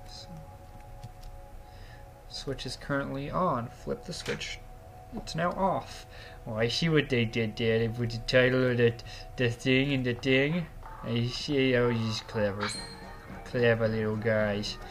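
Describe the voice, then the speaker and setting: A man's voice: medium pitch, steady tone, slow. Young male let's-play host, slightly sniffly from a cold, easygoing chatter, mid-range voice, faint music underneath. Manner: chatty, easygoing, reacts aloud to the game. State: starting a cold, a bit sniffly. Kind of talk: casual gameplay commentary. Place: small quiet closet at a school. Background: faint swing game music.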